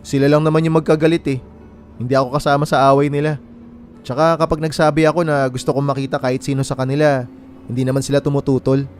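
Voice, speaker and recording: A man's voice: mid-range voice, flat delivery, quick pace, faint music behind, natural voice. A man with a Filipino accent playing a friendly, candid young bachelor in casual radio drama dialogue. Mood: candid, resigned. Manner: casual, conversational.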